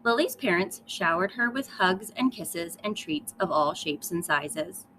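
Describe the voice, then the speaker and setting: A woman's voice: on the low side, unhurried, fairly bright tone, slight background noise, poor audio. A woman teacher reading a children's storybook aloud, speaking directly to young listeners with emphatic storytelling.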